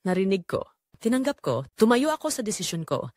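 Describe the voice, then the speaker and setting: Casting: female Filipino audio drama narrator, earnest and emotive, animated pitch, close dry recording. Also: brisk, no echo. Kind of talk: scripted first-person dramatic narration.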